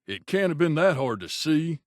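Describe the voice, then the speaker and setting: An actor playing a loyal, wry gunslinger companion in a video game, talking casually and familiarly. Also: male voice, on the high side, sing-song, normal speed, a little mellow, dry recording, studio quality. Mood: startled, exasperated. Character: loyal wasteland gunslinger companion man, wry, devoted to his mother.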